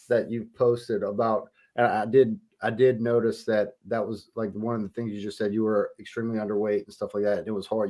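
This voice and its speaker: A man hosts a casual interview in a loose, easygoing way. His questions ramble and restart several times before they land. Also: mid-range voice.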